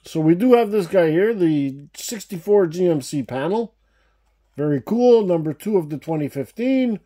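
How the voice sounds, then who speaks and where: A man with a mellow, relaxed voice chats enthusiastically to his viewers in a casual unboxing vlog. He has a slight cough that breaks in now and then. He sounds like he is talking at a table in a room full of shelves. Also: on the high side. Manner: enthusiastic, chatty, addresses viewers as guys. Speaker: diecast collector channel host. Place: indoor room with shelves of toy cars.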